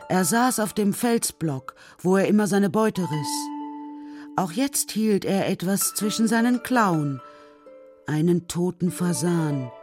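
Woman narrating a kids radio play, lower voice, measured and dramatic, bit of music under it. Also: darkish tone. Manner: measured, dramatic literary reading. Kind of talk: scripted storytelling for a children's radio play.

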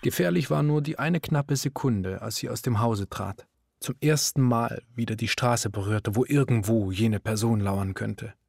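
An actor playing a grave, measured literary narrator in a radio play, with a husky voice. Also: male voice, medium pitch, darkish tone, no echo. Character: grave literary narrator, also the concerned husband. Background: music.

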